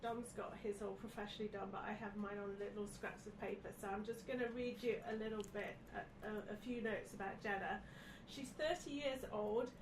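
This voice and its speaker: A woman's voice: mid-range voice, fairly even intonation, fairly quick, few pauses. A woman, snuffly with a head cold, chatting casually as a podcast guest. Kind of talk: casual chat from notes. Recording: echoey, distant mic, clear background noise.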